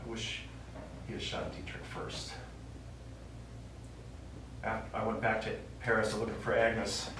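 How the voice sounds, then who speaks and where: An actor playing a stubborn old American war veteran and father, telling his memories haltingly in a stage play. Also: fairly low pitch, level tone, lightly acted. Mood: grim recollection. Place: small theater stage, recorded from the audience. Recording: distant, very echoey theater recording, faint noise.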